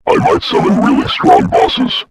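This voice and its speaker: A man voicing a boastful, taunting robot villain in an amateur story, through a heavy pitch-warping robotic filter. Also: unhurried. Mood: gloating, taunting. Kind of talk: amateur emergency-broadcast story villain monologue. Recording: clearly noisy audio.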